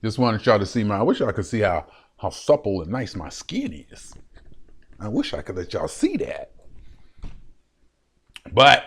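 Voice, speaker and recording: Casting: man, late 50s, solo home vlogger, rambling and animated, repeats himself a lot. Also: lowish voice, a little slow, long pauses, husky. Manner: chatty and animated, laughing often, repeating phrases. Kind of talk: rambling solo vlog to a webcam. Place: his room at home. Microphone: webcam.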